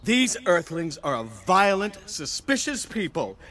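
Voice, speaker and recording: A man playing an excitable alien disguised as a little dog, theatrical and exclamatory, in a sci-fi audio drama. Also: high-pitched, measured pace, background noise. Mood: indignant, weary. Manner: theatrical, exclamatory, arguing with himself.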